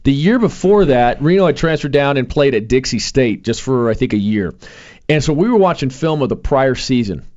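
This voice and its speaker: A man's voice: mid-range voice, expressive, moderate pace, a little mellow, muffled recording. A young man hosting sports talk, chatting casually with many fillers and restarts. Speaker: sports radio host.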